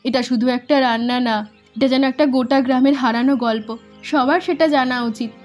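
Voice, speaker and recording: A woman voicing a gentle, hospitable village mother in an animated cartoon. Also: sits high, brisk, faint music behind, close to an everyday voice. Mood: motherly.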